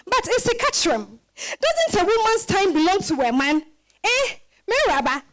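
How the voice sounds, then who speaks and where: Very high-voiced old Ghanaian grandmother. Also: big pitch swings, a little slow, a bit bright, full character voice. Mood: scolding, amused. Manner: exclamatory, probing with rhetorical questions, chuckling. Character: wry, scolding old West African grandmother. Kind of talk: dramatized stage-style reading.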